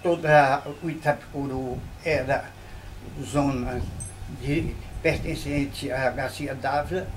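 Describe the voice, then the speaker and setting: An older man from Bahia, telling local history in an interview at an old mansion, unhurried and full of tag questions. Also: medium pitch, steady tone, a little hiss or hum, low-quality recording. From Bahia, Brazil. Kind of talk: reminiscing interview.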